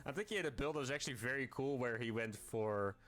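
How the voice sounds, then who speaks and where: A man's voice: medium pitch, fast, fairly bright tone. A man in a mid-range voice talks through his strategy game match. He explains his plans as he goes, thinking aloud with frequent ums. His tone stays level and conversational. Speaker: game video commentator.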